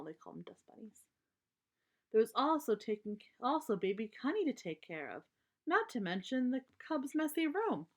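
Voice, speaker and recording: A woman reading a children's storybook aloud expressively, pausing to explain words simply in an emphatic way for young listeners. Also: on the low side.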